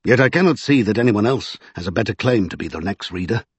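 A man's voice: lowish voice, animated, fast talker, slightly rough, dry recording. A man voicing an earnest, desperate serving man in a storybook, insistent and exasperated. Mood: resolved.

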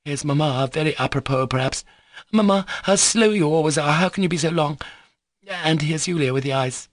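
An excitable, imperious teenage girl from a classic novel, acted out by a male audiobook reader. The voice sits high and sounds urgent and demanding, with exclamations and orders. It is recorded close and dry. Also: normal speed, dry recording, close to an everyday voice. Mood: nervous, indignant. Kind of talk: dramatised audiobook reading.

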